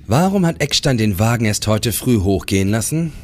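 A man's voice: on the low side, expressive, moderate pace, close to an everyday voice. A man in a crime radio play, playing a driven, probing police detective who also narrates his case. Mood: urgent, probing. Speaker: radio drama actor. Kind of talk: German crime radio play acting, with first-person narration.

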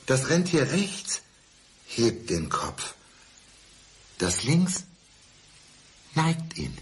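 An actor playing an intense, supposedly blind man clinging to his ex with false calm, in a deliberate, emphatic radio crime drama style. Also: mid-range voice, exaggerated voice. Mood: probing, intense.

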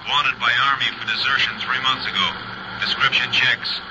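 A man's voice: mid-range voice, level tone, moderate pace, close to an everyday voice. An actor playing a formal, impersonal male police dispatcher in a 1950s radio drama, reading reports in clipped official phrases. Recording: muffled, noisy old radio dispatch.

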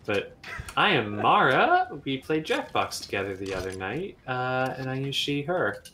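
A woman's voice: a bass voice, sing-song, unhurried, fairly bright tone, slight background noise. A woman chatting and joking with friends during a game stream, reading viewer questions aloud. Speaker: guest streamer. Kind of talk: casual gaming chat.

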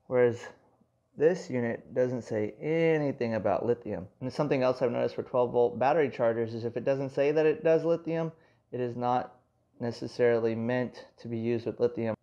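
A man talks through a product review at a home table, chatty and full of asides. His voice is mellow and relaxed at a middle pitch. The sound is a little dull and lo-fi, as from a camera mic. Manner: conversational, explains with many asides. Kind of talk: casual hands-on product review.

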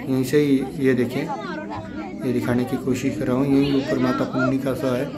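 A man's voice: mid-range voice, level tone, moderate pace, over a noisy crowd. A man from the hills of northern India, narrating a village vlog casually and pointing things out to viewers as friends. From Himachal Pradesh hills, northern India. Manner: repetitive show-and-tell, addressing viewers as friends. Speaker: village vlogger.

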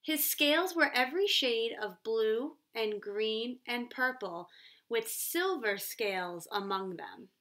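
High, bright-voiced young woman teacher. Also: expressive. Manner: clear teacherly emphasis, pauses for questions. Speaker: primary school teacher. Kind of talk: explaining and reading aloud to young children. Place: small room at home, kitchen.

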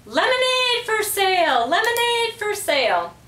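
A woman's voice: extremely high pitch, very animated, a little slow, pushed and bright, voice pushed up, full character voice. A woman voicing a boastful, chattering pet parrot in a storybook, squawking and repeating phrases. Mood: squawking sales cry. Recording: roomy, distant microphone.

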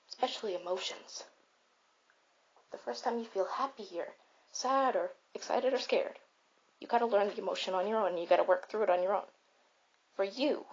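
A woman playing a caring but blunt, self-important robot in a comfort roleplay, speaking in a deliberate, declamatory way. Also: mid-range voice, lightly acted. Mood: earnest, reassuring. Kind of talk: comfort roleplay monologue with storytelling. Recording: slightly dull, raw home recording.

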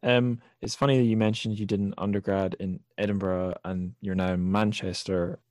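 A young man with a notably low-pitched voice, recorded dry with little room sound. He hosts a casual interview podcast, wandering through his thoughts and gently questioning himself as he goes. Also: level tone, normal speed, no room sound. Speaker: podcast host.

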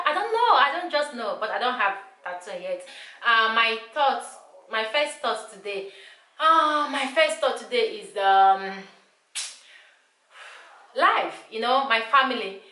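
A woman's voice: on the high side, pushed and bright. A woman in her thirties with a Nigerian accent, whose first language is Igbo, chatting casually to camera in a small room and repeating herself often. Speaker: vlogger. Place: small bright room indoors. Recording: echoey room.

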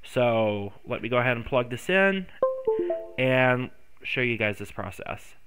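A man's voice: medium pitch, sing-song, unhurried, over music. A congested man hosting a screen-recorded tech tutorial, rambling with many ums and self-corrections. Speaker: tutorial host.

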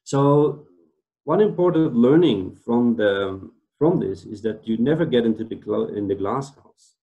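A man's voice: mid-range voice, expressive, a little slow, narrow, tinny audio. A middle-aged man from the Netherlands with a Dutch accent, presenting slides in a webinar over a video call and explaining ideas with frequent "right?" tag questions. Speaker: webinar speaker. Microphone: video call webcam.

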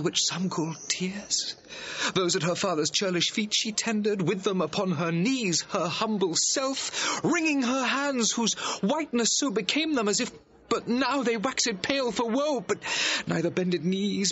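An actor playing an earnest, persuasive young gentleman in a Shakespearean audio drama, declaiming verse in measured phrases. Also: sits high, husky, lo-fi sound, full character voice.

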